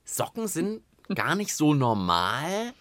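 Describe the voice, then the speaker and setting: A man's voice: fairly high pitch, huge pitch swings, slow, fairly bright tone, no echo. A man co-hosting a children's podcast in casual, unscripted chat.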